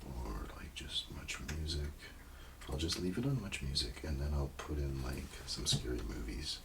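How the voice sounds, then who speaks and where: A man doing a casual ASMR roleplay, murmuring and rambling in a low-key, unhurried way, sometimes near a whisper. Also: expressive, creaky, distant mic, noisy background.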